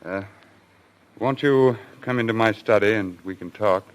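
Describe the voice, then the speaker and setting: An actor playing a tense, guilt-haunted big game hunter in an old-time radio thriller, hesitant and defensive in a dramatic manner. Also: male voice, fairly low pitch, unhurried, close to an everyday voice. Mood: defensive, flustered.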